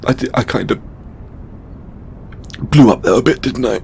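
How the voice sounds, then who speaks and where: An actor playing a distraught, overburdened god in an emotional audio roleplay, a man speaking tearfully and haltingly with exasperated swearing. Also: mid-range voice, vocal fry, a little mellow, slight background noise, natural voice. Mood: apologetic, tearful. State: crying. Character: distraught, overburdened male god venting to his devotee.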